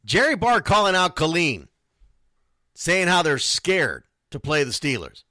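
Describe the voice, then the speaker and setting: A man's voice: on the high side, sing-song, measured pace, pushed and bright, no echo, clean studio sound. A man hosting a casual radio show, talking informally and animatedly. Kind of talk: casual live sports radio talk with read sponsor spots.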